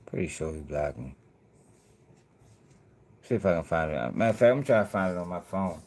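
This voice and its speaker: A man's voice: low-pitched, lively intonation, a little fast, darkish tone. Dude reacting to clips, blunt and cussing, talking right at his viewers. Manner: blunt, profane, talks straight to viewers. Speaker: commentary channel host. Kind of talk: casual reaction commentary.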